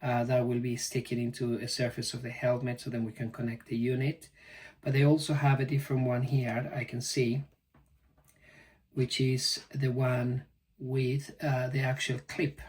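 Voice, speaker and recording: A man giving a casual, halting unboxing commentary as a product reviewer. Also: medium pitch, little pitch movement, a little mellow. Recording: distant mic, faint background noise.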